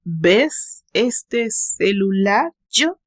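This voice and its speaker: A woman voicing a drunk, heartbroken rich man in an audio story, with slurred, drawn-out, emotional delivery. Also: mid-range voice, lively intonation. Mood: drunken, tearful. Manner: emotional, broken by gasps and bitter laughs. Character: drunk, heartbroken wealthy male tycoon, bitter and pleading.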